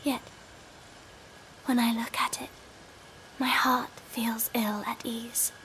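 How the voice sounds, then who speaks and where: An actress playing a gentle young noblewoman in a game, wistful and formal, with a soft, breathy voice. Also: a little high, flat delivery, slow, a little hiss or hum, poor audio. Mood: sad, uneasy. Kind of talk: dramatic game cutscene acting.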